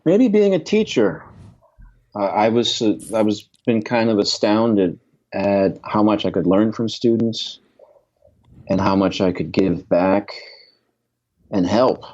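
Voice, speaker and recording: A man in his fifties, a guest musician telling candid stories in an interview, reflective and quick to laugh, often trailing off and restarting. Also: lowish voice, lively intonation, darkish tone, muffled recording. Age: 50s.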